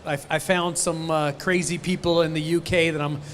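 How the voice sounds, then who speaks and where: Man, middle-aged, booming voice, slightly high pitch, jokey auctioneer banter, faint hall murmur. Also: flat delivery, moderate pace, fairly bright tone, slight background noise. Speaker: auctioneer and reality TV guest. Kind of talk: convention stage interview. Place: convention stage. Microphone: handheld mic.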